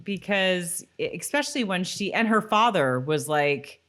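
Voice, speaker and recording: A woman's voice: lowish voice, animated, unhurried, fairly bright tone. A woman co-hosting a podcast in an informal, chatty style, reacting readily to her partner. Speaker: podcast co-host. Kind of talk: casual rewatch chat with some read-out recap.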